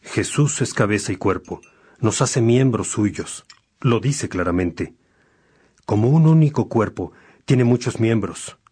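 An actor playing a devout, gracious bishop in a radio drama, preaching to his brothers in an earnest, measured way. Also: lowish voice, expressive, a little mellow, husky. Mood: earnest, reverent.

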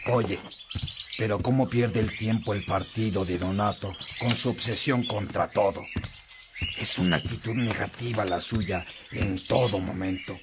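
Low, direct bishop played by a man for a radio play; steady noise and birdsong behind. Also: a little slow, natural voice. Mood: concerned. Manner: direct and questioning. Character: frank, concerned male bishop and companion. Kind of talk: radio drama acting.

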